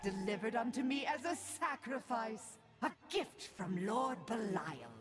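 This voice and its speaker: A shrill, grandiose cult fanatic. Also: male voice, sing-song, music in the background, heavily acted. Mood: gloating. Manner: grandiose, drawn-out declaiming. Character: fanatical male cult villain, gloating, devoted to demon lord. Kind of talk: video game voice acting.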